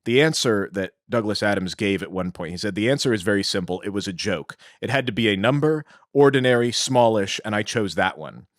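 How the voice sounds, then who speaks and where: A man's voice: lowish voice, animated, fairly quick, few pauses, no echo, clean studio sound. A man co-hosting a podcast, explaining things in an informative, conversational way. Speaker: podcast co-host.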